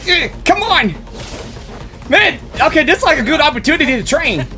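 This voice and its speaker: A man doing casual, slangy, excitable live reaction commentary as a game streamer. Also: extremely high pitch, moderate pace, music in the background.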